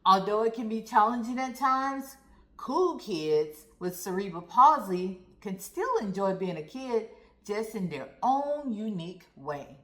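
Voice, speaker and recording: A woman reading a story aloud to children in an expressive, deliberate manner, speaking directly to young listeners. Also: medium pitch. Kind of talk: read-aloud storytime narration. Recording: roomy, echoey sound.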